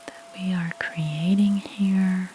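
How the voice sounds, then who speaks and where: Very slow, soft whispery woman. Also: on the low side, fairly even intonation, a little hiss or hum, low-quality recording. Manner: gentle, unhurried explaining with pauses. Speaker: ASMR creator playing a house cleaner. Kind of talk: softly spoken ASMR roleplay.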